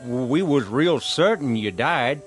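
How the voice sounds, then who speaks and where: Slow-talking country preacher. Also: male voice, mid-range voice, expressive, soft background music. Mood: awkward, explaining. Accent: rural American. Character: folksy country preacher, solemn and deliberate. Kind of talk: radio drama acting.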